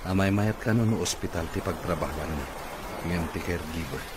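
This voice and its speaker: Actor as deep-voiced gloomy male boss. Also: brisk, close to an everyday voice. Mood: glum, suspicious. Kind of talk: Ilocano radio comedy-drama acting. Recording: noisy radio drama mix.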